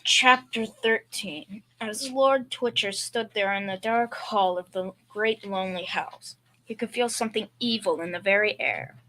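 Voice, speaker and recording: A woman's voice: medium pitch, unhurried, bright, forceful tone, poor audio. A young female performer playing a blustering, secretly scared father with folksy, hesitant speech in a school old-time radio comedy. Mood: eerie reading. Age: young teen or child.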